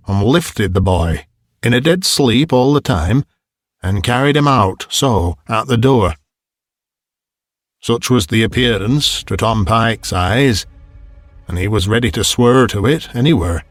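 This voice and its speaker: A man narrating a Victorian ghost story as an audiobook in a measured, deliberate storytelling manner. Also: fairly low pitch.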